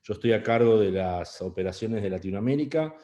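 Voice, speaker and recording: A man's voice: on the low side, lively intonation, a little fast, dark tone, roomy sound. A middle-aged man with an Argentine Spanish accent giving an online webinar talk, hesitant, with many fillers and restarts. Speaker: webinar speaker. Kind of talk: online presentation.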